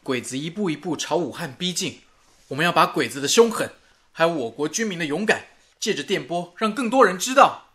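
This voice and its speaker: High, bright, earnest patriot engineer. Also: male voice, lively intonation, fairly quick. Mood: determined. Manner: sincere, determined. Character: earnest, patriotic male wartime radio engineer. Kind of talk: radio drama acting.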